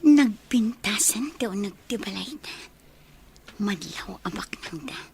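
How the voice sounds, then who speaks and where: A woman's voice: mid-range voice, lively intonation, slow pace, gravelly, a little mellow, slight background noise. A woman acting a calculating, inquisitive young village woman in a radio drama, with an Ilocano accent. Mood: scheming, whispered.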